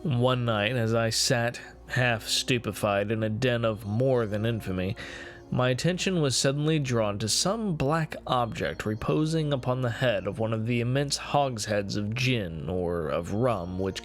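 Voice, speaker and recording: A man performing a dramatic gothic horror reading as a guilt-ridden, morbid narrator, with formal, deliberate, theatrical phrasing. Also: medium pitch, lightly acted. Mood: brooding, confessional. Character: guilt-ridden, morbid male narrator confessing his cruelty. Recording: music playing behind the voice. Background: music.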